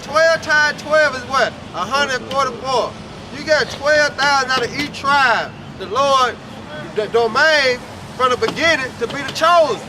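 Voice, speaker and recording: High-voiced man street preaching. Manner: emphatic, call-and-response. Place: outdoors on a city street. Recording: outdoor street recording with traffic and crowd.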